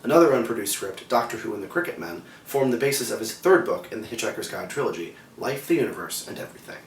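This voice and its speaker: A man's voice: fairly low pitch, expressive, quick pace. Man presenting in a roomy, distant recording. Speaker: co-host of a geek culture explainer show. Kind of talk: scripted presenting with casual opinion. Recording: roomy, distant mic, faint background noise.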